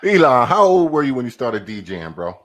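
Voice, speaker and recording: A man co-hosting a casual podcast, animated and quick, repeating words when excited. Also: mid-range voice, crowd noise. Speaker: podcast co-host.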